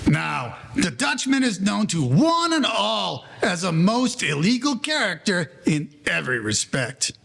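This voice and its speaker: A man's voice: high-pitched, very animated, measured pace, vocal fry, hardly any pauses, fairly bright tone, slight background noise, full character voice. A man playing the wry storyteller of a 1940s-style gangster audio play, narrating in mannered, formal slang. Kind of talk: scripted period story narration.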